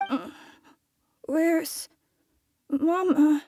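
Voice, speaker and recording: A woman's voice: high-pitched, drawn-out words, dark tone, no echo. A woman voicing a timid, bewildered young monster boy in a video game, hesitant and childlike. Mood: drowsy, timid. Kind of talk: dramatic video game voice acting.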